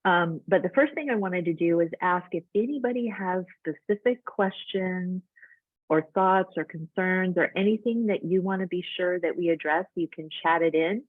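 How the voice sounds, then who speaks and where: A woman's voice: on the low side, normal speed, no echo. A woman coaching host running a casual webinar, talking in loose, chatty run-on sentences over a video call. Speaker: life coach hosting a webinar. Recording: thin phone-like audio, dry.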